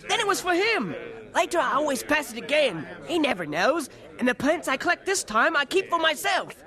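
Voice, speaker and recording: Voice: high voice, sing-song, fairly quick, sharp tone, full character voice. A performer playing a plucky, cheeky young boy in an audio drama, eager and defiant. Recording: faint music and crowd behind.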